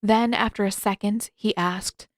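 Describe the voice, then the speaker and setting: A woman's voice: mid-range voice, fairly even intonation, unhurried, dry recording, clean studio sound. A woman reading scripted fiction as an audiobook narrator.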